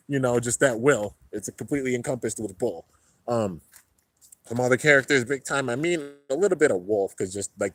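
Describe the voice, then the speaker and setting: Young male streamer, relaxed rambling chat, slightly congested, dry close sound. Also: no echo, low-quality recording. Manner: laid-back, rambling, heavy on fillers. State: a little congested. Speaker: YouTube host reading live chat. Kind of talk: casual livestream chat. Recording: low-end home stream setup.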